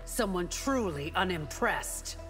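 An actress voicing a severe, unimpressed warrior priestess in a video game, formal and dry. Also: female voice, on the low side, expressive, slow pace, a bit bright, faint music behind. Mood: stern disdain. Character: stern, devout warrior priestess, unimpressed by flirtation. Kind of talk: video game fight-intro banter.

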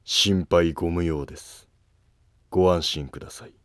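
A man's voice: low-pitched, fairly even intonation, normal speed, no echo, clean studio sound, close to an everyday voice. A man voicing a stern, guarded, dutiful palace guard in a historical cartoon drama, curt and formal. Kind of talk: Japanese historical animated drama acting.

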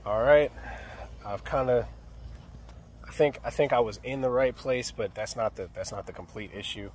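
A man's voice: mid-range voice, normal speed, a little mellow, slight background noise. Young man thinking aloud on the job. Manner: thinking aloud, many fillers and restarts. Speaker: HVAC technician. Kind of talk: casual work vlog narration. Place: outdoors by an AC unit and indoors in a closet. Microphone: phone camera held close.

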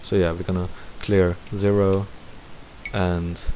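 Low-voiced man giving a game tutorial. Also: takes it slowly, low-quality recording. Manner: thinking aloud step by step. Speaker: tutorial presenter. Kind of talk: casual screen-recorded game tutorial. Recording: steady hiss behind the voice.

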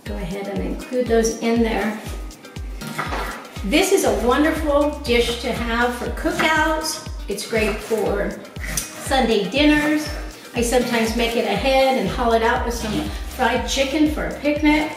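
A woman in her forties demonstrates a recipe at her kitchen counter, walking viewers through each step in a bright, chatty way. Her voice sits a little high. The kitchen rings with heavy echo, and she sounds a bit off the mic, with faint music underneath. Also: a little slow. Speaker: cooking show host. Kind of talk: cooking demonstration to camera. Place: home kitchen, indoors. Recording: very echoey kitchen, voice a bit distant.

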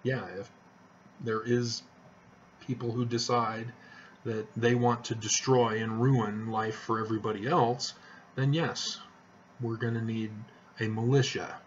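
A middle-aged man talks slowly and earnestly to his webcam from a small living room, rambling along with restarts. The sound is dull and lo-fi, with a faint hiss underneath. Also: medium pitch, level tone. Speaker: vlogger. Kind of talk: casual talk to camera. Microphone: webcam at desk distance.

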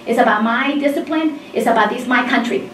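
A woman's voice: fairly high pitch, fairly quick. Insistent woman speaking up from across a room. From Mexico. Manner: emphatic, insistent, gestures while talking. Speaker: engineering faculty member in audience. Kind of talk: impassioned audience comment in discussion. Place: seminar room with tables, indoors. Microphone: far room camera mic. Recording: roomy and distant with faint noise.

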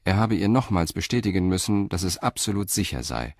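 Deep, measured male narrator. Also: no room sound, studio quality. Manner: measured, calm storytelling. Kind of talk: scripted audio drama narration.

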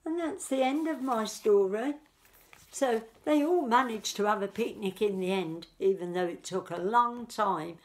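A woman's voice: mid-range voice, a little slow. A woman in her fifties telling a picture-book story as a nursery teacher, explaining simply to small children. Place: small living room, indoors.

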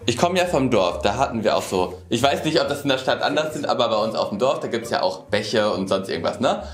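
A man's voice: mid-range voice, very animated, quick pace. A young adult man casually telling a story to camera in an indoor room. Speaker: YouTube presenter. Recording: roomy echo. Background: background music.

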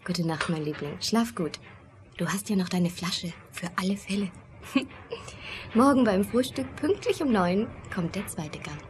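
A woman's voice: mid-range voice, animated, measured pace, a little hiss or hum, natural voice. A woman playing a teasing, self-assured, seductive lady passenger in a German radio play. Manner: coy, teasing.

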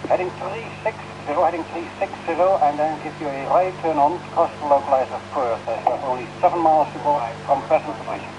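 A man's voice: fairly high pitch, fast, pauses often. A man working as an air traffic controller, giving instructions over a radio. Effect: radio transmission. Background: clear radio noise and hiss.

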